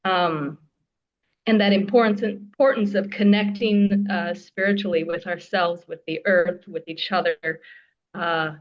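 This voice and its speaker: A woman from the United States asks a question from the audience in a halting, thoughtful and thankful way. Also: on the low side, fairly even intonation. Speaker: audience member asking a question. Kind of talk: casual question from the floor. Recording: dull lo-fi audio.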